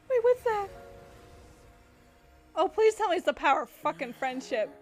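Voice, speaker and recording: A woman streamer giving an excited, casual reaction to a game, gushing and exclaiming with swearing. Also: very high voice, measured pace, faint music behind. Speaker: gaming streamer reacting to cutscenes.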